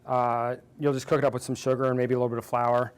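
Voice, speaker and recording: A man's voice: medium pitch, little pitch movement, fast talker, smooth. A man in his forties hosting a baking show, chatting and teasing his guest as he bakes. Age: 40s. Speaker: cooking show host. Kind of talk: casual chat while baking.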